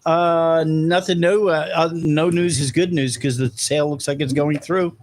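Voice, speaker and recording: A man's voice: on the high side, steady tone, normal speed, slight background noise. A man producing a talk radio show, chiming in casually to explain sports news.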